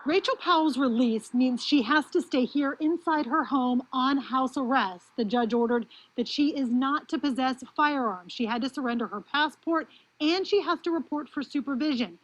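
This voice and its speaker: A woman's voice: high-pitched, moderate pace, rough recording. A woman with an American accent narrating a scripted television news report.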